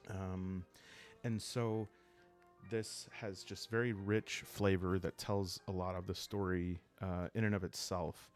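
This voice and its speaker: A man hosting a solo role-playing game channel, explaining in a calm, unhurried ASMR style with halting, reflective phrasing. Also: low-pitched, soft background music.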